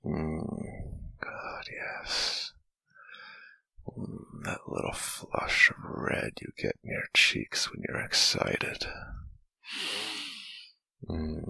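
Casting: male actor as tender, protective boyfriend for ASMR roleplay. Very low, gravelly whisper, close-miked and intimate. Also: pushed and bright, no echo, exaggerated voice, poor audio. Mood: adoring, sensual. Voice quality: breathy, husky whisper. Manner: slow, tender murmuring with lingering hums. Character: adoring, sensual, protective male lover. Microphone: close mic, dry.

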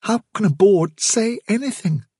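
A man's voice: high voice, lively intonation, measured pace, warm tone, slightly rough, no room sound, studio quality, pitched above the performer's own voice, full character voice. A man voicing a nervous young male rabbit in a storybook reading. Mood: frightened. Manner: small, anxious, pleading.

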